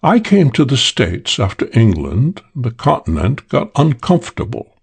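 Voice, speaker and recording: A man voicing a suave, self-assured English gentleman thief telling a wry crime story for an audiobook, with old-fashioned British slang. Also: mid-range voice, big pitch swings, normal speed, gravelly, husky, heavily acted. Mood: wry, boastful.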